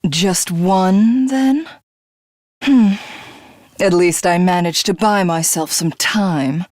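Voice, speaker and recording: A woman's voice: on the low side, takes it slowly, a little mellow. An actress playing an arrogant, power-hungry alien warrior woman in a video game, with a haughty, grandiose and theatrical delivery. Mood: gloating, calculating. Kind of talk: video game character voice acting.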